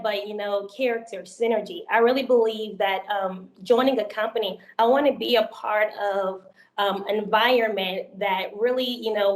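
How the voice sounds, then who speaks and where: A young woman speaking as a panelist on a video call, enthusiastic, with many pauses and "um"s. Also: medium pitch, reverberant. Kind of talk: virtual panel discussion.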